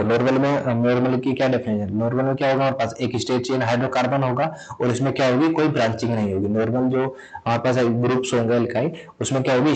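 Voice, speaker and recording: A man from India teaches chemistry at a middle pitch, and his throat is sore enough that he stops to clear it. He poses a question, answers it, then says the answer again, in the patient rhythm of a classroom lesson. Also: darkish tone, rough recording. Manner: repetitive, asks and answers own questions. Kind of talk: explaining a lesson.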